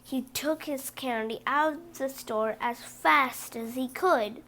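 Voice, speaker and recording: A woman reading a children's story aloud with animated, emphatic stresses and brief asides. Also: sits high, slow pace. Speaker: storybook reader.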